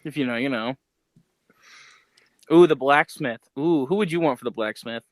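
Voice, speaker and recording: A man's voice: medium pitch, moderate pace, no echo. A man co-hosting a podcast, talking in an informal, slangy way. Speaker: podcast co-host. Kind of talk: casual chat.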